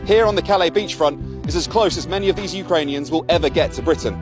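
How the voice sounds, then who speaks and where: A male reporter reading a scripted news report in a measured, pointed way. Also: fairly high pitch, over music.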